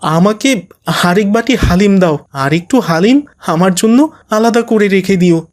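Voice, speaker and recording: Cartoon young boy, woman performer: medium pitch, cheerful, talkative, full of enthusiasm. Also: expressive, unhurried, a little mellow, natural voice. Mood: delighted. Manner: chatty, enthusiastic. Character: cheerful, eager young boy, food-loving little brother. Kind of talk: children's cartoon story acting.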